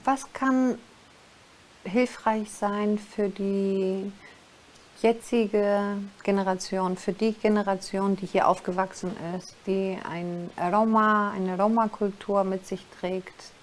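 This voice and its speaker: A woman's voice: medium pitch, steady tone, slow, a little hiss or hum. A woman asking questions as the interviewer in a documentary.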